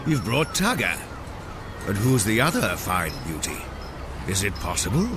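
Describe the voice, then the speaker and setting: A man's voice: lowish voice, big pitch swings, measured pace, noisy background. A man in an audio play acting as a genial master engineer at a shipyard, courteous and admiring. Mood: delighted, curious. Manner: courteous, appreciative.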